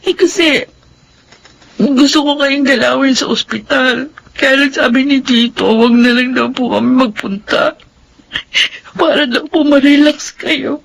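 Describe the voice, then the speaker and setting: An actress playing a dutiful, worried grown daughter in a radio drama, speaking respectfully and tenderly. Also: female voice, sits high, measured pace, vocal fry, exaggerated voice, rough recording. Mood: tearful concern.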